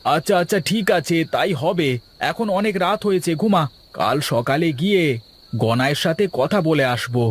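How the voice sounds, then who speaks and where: A man's voice: fairly high pitch, expressive, moderate pace, slightly rough. A man voicing a bossy but well-meaning eldest brother of a village household in a cartoon, speaking with authority. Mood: advising. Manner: authoritative, decisive. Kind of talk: cartoon dialogue.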